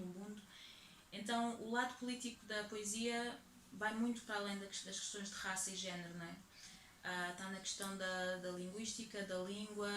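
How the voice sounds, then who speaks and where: A young woman from Portugal with a European Portuguese accent, giving thoughtful, steady interview answers as a poet and activist, often adding tag questions. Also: medium pitch. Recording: echoey room with faint ambient noise.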